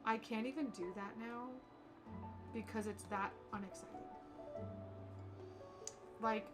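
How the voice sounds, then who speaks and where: A woman's voice: on the high side, steady tone, slow pace, music in the background. A woman livestreaming games in casual, slangy chat, chatty and opinionated as she talks to viewers. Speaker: streamer, content creator.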